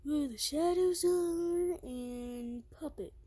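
High-voiced woman in a plush skit. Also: dark tone. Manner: halting, restarts, surprised questions. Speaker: plush video maker voicing toys.

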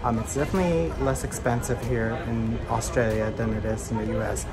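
A man's voice: mid-range voice, steady tone, brisk, over loud music. A man in his thirties from the United States, chatting casually while walking around a city and filming himself on a phone at arm's length. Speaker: travel vlogger. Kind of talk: casual walking-tour vlog. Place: outdoors on city streets, parks and in shops. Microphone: phone held at arm's length.

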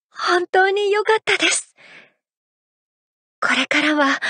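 Graceful, affectionate idol heroine; high, unhurried delivery, close and dry. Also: female voice, dry recording, full character voice. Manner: soft, intimate, affectionate lines. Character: graceful, playful young adult female idol. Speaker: idol character in a mobile game. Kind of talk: game character voice lines. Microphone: studio mic.

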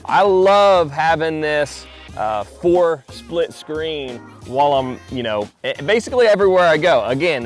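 A man walking through his fishing boat outdoors, talking in a relaxed, chatty way with many fillers and restarts. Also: fairly high pitch. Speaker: pro angler giving a boat tour. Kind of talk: casual walkthrough vlog.

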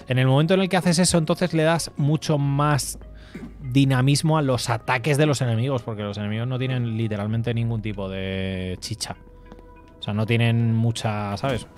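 Casting: man, 30s, accent from Spain, rambling casual game-stream chatter, faint music underneath. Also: medium pitch. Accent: Spanish from Spain. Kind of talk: casual gameplay commentary.

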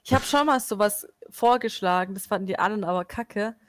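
A woman's voice: mid-range voice, fairly quick, no room sound. A woman chatting casually with friends during an online drawing game, giggling often. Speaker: fellow player.

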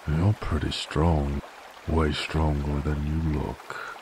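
A man playing a gruff, menacing werewolf bounty hunter in an audio roleplay, with an American Southern accent and a folksy, threatening cowboy drawl. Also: level tone, slow pace. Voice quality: very deep, gravelly. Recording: clear trickling stream noise behind. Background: flowing water ambience.

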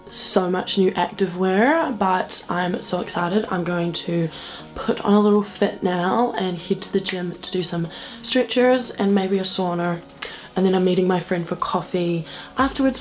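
A young woman in her twenties with a New Zealand accent, chatting casually to camera in a vlog, her voice croaky from a cold. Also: fairly low pitch. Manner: chatty, upbeat, lots of "like" and "um". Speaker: vlogger. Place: small bedroom and kitchen indoors.

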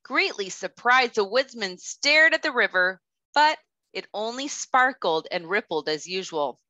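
A woman in her thirties, a kindergarten teacher reading a children's storybook aloud with careful, expressive delivery. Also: medium pitch, unhurried, no echo. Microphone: headset.

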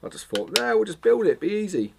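A man's voice: high-pitched, sing-song, brisk, vocal fry. Chatty man, faint room noise. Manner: chatty, self-mocking, jokey asides. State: slightly hoarse from a cold. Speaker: photography vlogger. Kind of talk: casual chat to camera. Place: home studio room, indoors.

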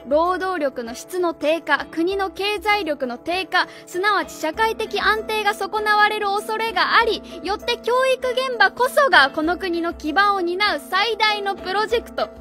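Woman acting a very high-voiced, earnest female schoolteacher. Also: a little slow, hardly any pauses. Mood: insistent. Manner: formal, earnest, indignant. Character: earnest, proud, idealistic female junior high school teacher. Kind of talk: radio drama acting. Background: music.

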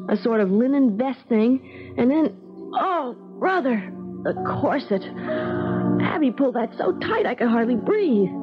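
An American actress playing a spirited, flippant young bride in a 1970s radio drama, wry and brisk. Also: female voice, a little high. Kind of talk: 1970s radio drama acting, first-person narration and dialogue. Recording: dull 1970s radio recording with music.

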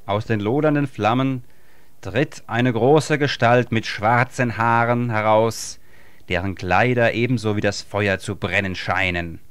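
A man's voice: lowish voice, unhurried, no room sound. A man reading scripted narration as the narrator of a children's audio drama. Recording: clear background noise, ambient sound.

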